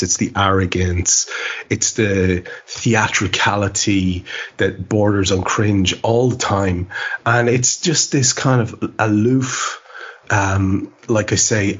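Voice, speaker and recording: A man hosting a casual football podcast, wordy and opinionated, with expressive turns of phrase and a husky voice. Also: fairly low pitch. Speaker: podcast host.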